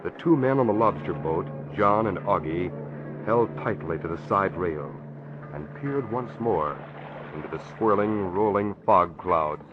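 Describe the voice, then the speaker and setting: A man's voice: deep voice, lively intonation, measured pace, background noise. A man narrating an old-time radio drama in a solemn, suspenseful style. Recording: dull vintage radio recording.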